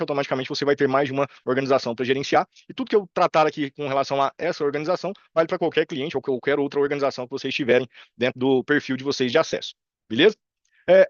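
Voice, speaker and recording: Casting: Brazilian male presenter, Brazilian Portuguese accent, chatty and quick, informal tutorial delivery, close dry sound with slightly lo-fi tone. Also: medium pitch, no room sound. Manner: informal, chatty, checks in with listeners. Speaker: presenter demonstrating software. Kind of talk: informal live screen-share tutorial. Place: indoor room on a video call.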